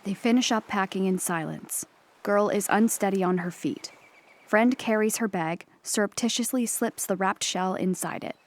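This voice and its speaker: A woman reading stage directions as the measured, neutral narrator of an audio play. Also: mid-range voice, natural voice. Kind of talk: scripted narration.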